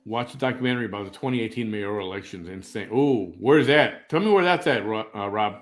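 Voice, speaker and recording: A man's voice: on the low side, fast. A man in his fifties from Chicago hosting a live-stream chat show, rambling, opinionated and joking as he reads out viewer comments. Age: 50s.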